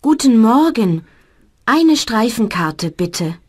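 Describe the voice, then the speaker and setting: A woman's voice: on the high side, very animated, takes it slowly, heavily acted. Woman voicing a polite young student. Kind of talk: slow scripted learner dialogue.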